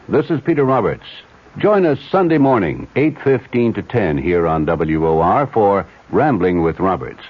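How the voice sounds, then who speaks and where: A man delivering a chatty, genteel radio promo with some hesitant ad-libbing, on a dull 1970s broadcast. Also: lowish voice. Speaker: radio host.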